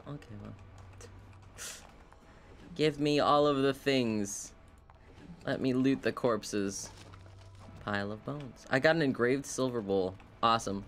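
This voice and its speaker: A man casually commentating on a game live, with playful, chatty asides to his viewers. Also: mid-range voice. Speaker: game streamer. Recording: clear background noise. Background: video game sound.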